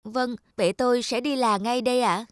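A woman's voice: a little high, steady tone, normal speed, close to an everyday voice. A woman voicing a polite, earnest, hardworking poor servant boy in a children's cartoon. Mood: weary, obedient. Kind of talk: dubbed children's cartoon dialogue. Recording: loud music behind the voice.

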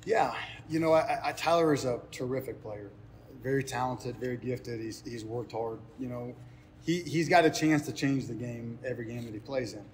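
A man's voice: mid-range voice, normal speed. A man in his thirties speaks as a coach answering the press. He explains things earnestly in loose, unscripted phrases that he often repeats. Faint ambient noise sits behind him. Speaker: head baseball coach.

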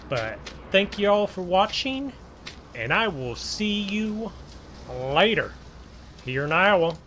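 Slow-talking man over engine noise. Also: fairly high pitch, a bit bright. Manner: halting, musing aloud to himself. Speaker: gaming channel host. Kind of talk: casual role-play gameplay commentary. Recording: clear engine and vehicle noise behind. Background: game vehicle engine sounds.

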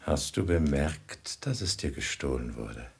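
An actor playing a stern, suspicious ruler who questions people in a grave, measured, probing way in a 1950s radio drama. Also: male voice, rumbling low, animated, vocal fry, slightly rough, heavily acted. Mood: grave suspicion. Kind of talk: 1950s German radio play acting.

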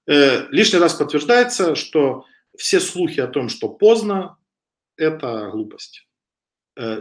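A man in his thirties giving a webcam lecture over slides, talking casually with frequent hesitations and a slight cough from a cold. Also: medium pitch. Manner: conversational, with frequent hesitations and restarts. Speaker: online business instructor. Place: home room with wooden shelves. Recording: echoey room on a webcam.

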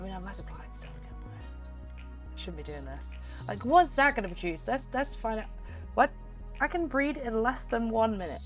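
A young woman with a cold, hoarse and chatty, commenting on a horse game she plays at home into a desk mic. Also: medium pitch. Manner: chatty, talks to the game and viewers. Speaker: gaming channel host. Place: home room, indoors. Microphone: desk microphone on stand.

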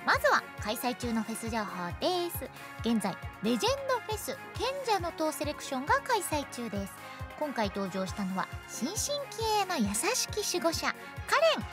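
A woman presenting segments and announcements as host of a radio show. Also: high-pitched. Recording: music behind the voice. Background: background music.

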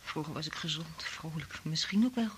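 A woman's voice: low voice, moderate pace, close to an everyday voice. An actress playing a fragile, troubled woman full of longing in a Dutch radio play. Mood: despondent. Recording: bright hissy old radio recording, clicks.

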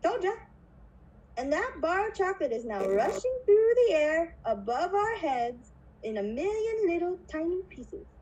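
Woman as an eccentric, very high-pitched chocolatier. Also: a little slow, heavily acted, poor audio. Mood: bossy, excited. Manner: theatrical, animated. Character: eccentric chirpy male chocolate factory owner puppet. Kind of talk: puppet show acting.

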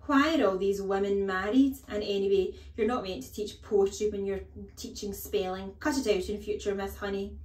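A woman voicing a huge, menacing, tyrannical storybook headmistress, scornful and snapping, with drawn-out emphatic words. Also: medium pitch, steady tone, darkish tone, reverberant, natural voice. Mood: contemptuous.

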